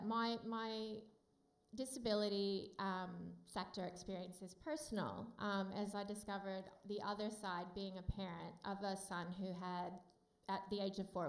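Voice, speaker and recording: A woman with an American accent giving a friendly, loud conference talk with many fillers to a room of support workers. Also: mid-range voice, echoey room. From United States. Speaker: company speaker at a summit. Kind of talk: conference presentation.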